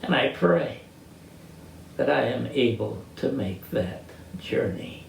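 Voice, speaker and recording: Slow elderly American man, roomy distant recording. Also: mid-range voice, animated, creaky. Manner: halting, reflective, searching for words. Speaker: interviewee sharing life stories. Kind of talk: reminiscing personal storytelling. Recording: roomy, distant mic with faint noise.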